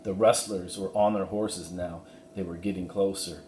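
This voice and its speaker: A man giving an expressive narration of a children's storybook. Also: low voice, normal speed. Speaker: story reader. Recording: roomy echo, faint background noise.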